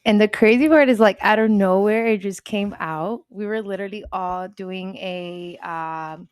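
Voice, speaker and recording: A woman in her twenties from Mexico, co-hosting a podcast and talking in lively Spanglish. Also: medium pitch, measured pace, clean tone, no echo. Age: 20s. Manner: animated, mixes Spanish and English. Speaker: podcast co-host. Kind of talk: casual chat.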